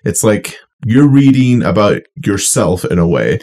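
Young man, slightly low voice, rambling casual podcast chat, lots of "like". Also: very animated, a little slow, a little mellow. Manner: rambling, full of "like". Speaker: podcast co-host.

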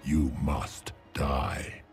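A man's voice: rumbling low, drawn-out words, full character voice. A man playing an ancient, monstrous would-be god, imperious and menacing, who declaims in pompous, archaic phrases for a fantasy game. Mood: cold menace. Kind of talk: dramatic fantasy game villain acting. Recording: loud dramatic music behind voice.

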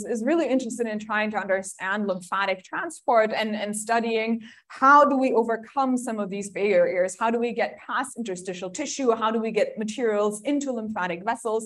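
Woman, from Germany, seminar lecturer, friendly chatty delivery, fairly high pitch. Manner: friendly, chatty, many ums. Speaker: guest lecturer. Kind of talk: academic seminar talk.

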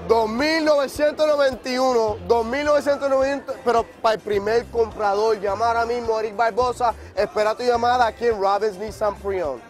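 A Puerto Rican man in his thirties giving a joking, hyped sales pitch on an outdoor car lot, tossing in English words. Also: fairly even intonation, brisk, hardly any pauses, faint music behind. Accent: Puerto Rican Spanish. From Puerto Rico.

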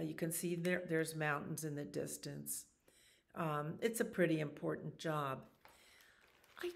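An older woman in her sixties reads a children's picture book aloud. Her voice is low with a noticeable creak, and she takes her time, adding casual explanations as she goes. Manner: unhurried, explaining pictures to young listeners. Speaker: children's storytime reader. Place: small library children's room.

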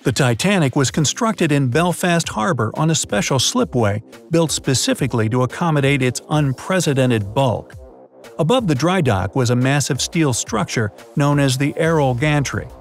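A man's voice: medium pitch, animated, normal speed. A man reading a scripted explainer narration, dropping in playful jokes now and then. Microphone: studio voice-over. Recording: faint background music.

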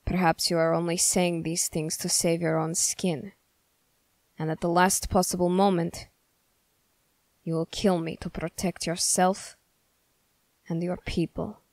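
A woman playing a stern, suspicious young male soldier in an ASMR roleplay, questioning the listener in a measured, formal way. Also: fairly low pitch, flat delivery, a little mellow, no room sound. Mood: guarded, distrustful. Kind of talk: ASMR character roleplay, slow scripted monologue.

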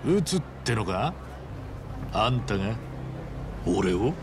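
A man voicing an easygoing but fearless Japanese tough traveller in a video game cutscene, with casual, rough street speech. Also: fairly low pitch, big pitch swings, takes it slowly, over music. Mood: calm, defiant.

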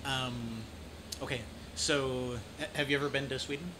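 A man in his forties from Idaho with an American accent, chatting casually as an interviewer in a halting, rambling way. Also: medium pitch, fairly even intonation. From Idaho, United States. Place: café or restaurant at night. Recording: clearly noisy room ambience.

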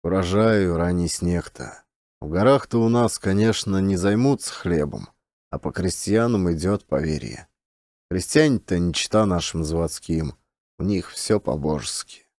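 A simple village huntsman , acted by a male narrator, grumbles good-naturedly in rustic, folksy speech. His voice is low and dark, in the manner of an audiobook drama. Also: expressive, normal speed, dry recording, clean studio sound. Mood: gentle musing.

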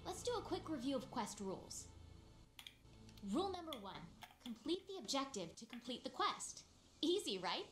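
A bright, high, bouncy voice of a young female hunting partner. She walks a newcomer through the rules with upbeat encouragement. The sound is a little roomy. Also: big pitch swings, moderate pace, faint music behind. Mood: encouraging. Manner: upbeat, encouraging tutorial guide. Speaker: game character. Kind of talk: game dialogue.

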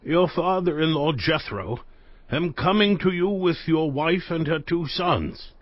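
A man voicing Jethro, a wise older priest giving measured counsel. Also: on the high side, no room sound, highs cut off. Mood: advising.

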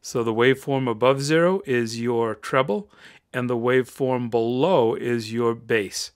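Deep voiced guy, close mic, upbeat tutorial talk, calls everyone guys. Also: measured pace. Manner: upbeat, encouraging, addresses viewers as guys. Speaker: voice-over tutorial host. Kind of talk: screen-recorded software tutorial. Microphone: close-up microphone.

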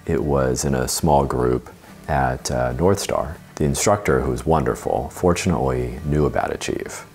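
A very deep-voiced man with a gravelly edge recounts a hard stretch of family life in a measured, steady way. He speaks on a lapel mic from a home sofa, with faint music underneath. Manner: measured, steady telling with frequent uh fillers. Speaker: parent interviewee. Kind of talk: interview testimonial. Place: living room, seated on a sofa.

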